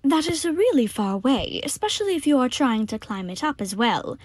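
A woman playing a gentle, motherly monster in an audio drama, with kindly, formal, careful phrasing. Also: high voice, very animated, brisk, no echo, studio quality.

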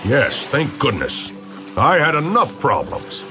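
A man voicing an excitable, theatrical male politician in a comic-book drama, drawing out his words. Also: medium pitch, slow pace. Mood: relieved. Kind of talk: exaggerated comic-book character acting. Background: music.

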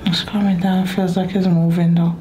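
A woman's voice: on the low side, little pitch movement, fairly quick, mellow. A woman as a chiropractic patient, casually reacting and asking questions about how her body feels. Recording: clear background room noise.

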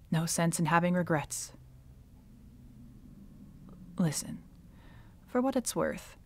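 Centuries-old witch villainess for audio roleplay female actor: unhurried, deep-pitched, sardonic and bitter, softening into breathy near-whispers. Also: exaggerated voice. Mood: wistful. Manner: slow, sardonic, dropping often into breathy whispers. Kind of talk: ASMR dramatic roleplay monologue.

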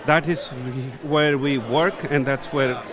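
A man's voice: fairly high pitch, steady tone, measured pace, crowd noise. A middle-aged man from Nepal with a Nepali accent, speaking earnestly and searching for words as a conference guest. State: a little tired from travel. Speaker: conference guest, policy professional. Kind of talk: conference interview. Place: conference hall booth, indoors. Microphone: handheld mic held by interviewer.

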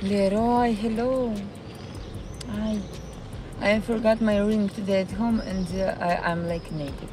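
A woman with a Ukrainian accent, live-streaming from a city park and chatting with her viewers in a halting way, often searching for words. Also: medium pitch, steady tone, background noise. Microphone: phone.

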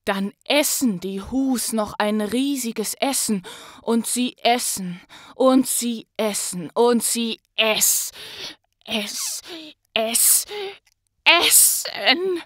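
Slow, bright woman reading a storybook. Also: on the high side, animated, no echo, studio quality. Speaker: actress and voice artist, guest reader. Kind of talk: expressive storybook narration.

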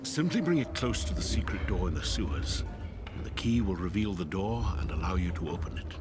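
An actor playing a grave, scholarly agent of a secret order in a fantasy video game, speaking in a formal, measured way. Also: male voice, deep voice, lively intonation, music in the background. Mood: curious, pondering.

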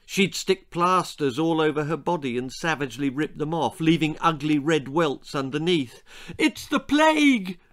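A man's voice: on the high side, normal speed, few pauses, fairly bright tone. A man in his sixties with a British accent, an author reading his children's story theatrically, relishing each grotesque detail. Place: small book-lined room indoors.

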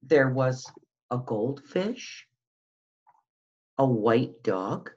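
A woman's voice: very deep voice, animated, very slow, darkish tone. A middle-aged woman reading a picture book aloud to young children, sing-song and full of questions, on a webcam microphone at home. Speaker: school behavior specialist reading to young children. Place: small room at home, indoors. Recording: dull lo-fi webcam sound.

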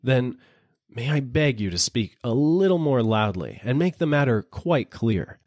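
Here is a man performing a small, sly storybook jackal who feigns puzzled, apologetic slowness. The pitch lifts and swoops in an animated way, and the voice is slightly husky. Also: no room sound, studio quality. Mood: vacant, puzzled. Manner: vacant, apologetic, exaggeratedly puzzled. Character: sly little jackal feigning slow-witted politeness.

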